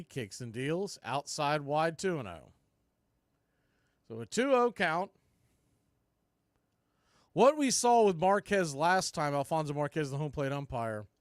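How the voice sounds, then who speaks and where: A man doing live baseball play-by-play for a fan stream, mixing clipped pitch calls with casual, partisan asides. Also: pushed and bright, no echo, clean studio sound.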